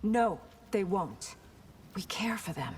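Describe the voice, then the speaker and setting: An actress playing a proud, compassionate warrior princess in a video game, speaking firmly and earnestly. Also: female voice, mid-range voice, big pitch swings, slow pace, a little hiss or hum. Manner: earnest, firm. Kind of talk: video game fighter dialogue.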